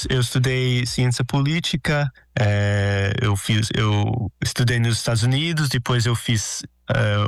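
American-accented man, halting answers. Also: medium pitch, vocal fry, clean tone, dry recording, studio quality. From Brazil and United States. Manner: halting, repeats words while searching. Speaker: guest, political scientist. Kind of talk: interview answers.

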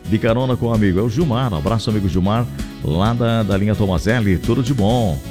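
Male radio host, southern Brazilian accent, deep-leaning voice, effusive patter over a clear music bed. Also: quick pace. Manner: chatty, effusive, repeats phrases. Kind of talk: live radio chat, shout-outs and ad reads. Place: radio studio. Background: music under the voice.

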